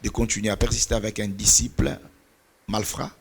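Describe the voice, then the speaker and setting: A man's voice: fairly low pitch, little pitch movement, a little fast, husky. A middle-aged man whose first language is Portuguese, speaking as a pastor and mentor in an earnest, preaching cadence, sometimes searching for words. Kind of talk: church talk on mentoring.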